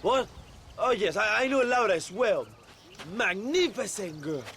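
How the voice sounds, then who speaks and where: An actor playing a boastful, careless Mexican rebel leader in a video game, with a Mexican accent and a pompous, theatrical manner. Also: male voice, high voice, lively intonation, measured pace, sharp tone, a little hiss or hum, exaggerated voice. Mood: breezy, dismissive. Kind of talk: video game cutscene acting.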